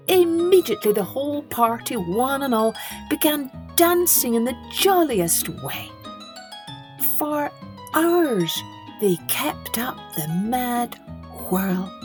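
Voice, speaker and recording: Woman, children's storyteller, lively swooping intonation, slow measured delivery, dramatic emphasis. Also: medium pitch. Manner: animated, dramatic emphasis on key words. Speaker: children's storytelling podcast host. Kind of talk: expressive storybook narration for children.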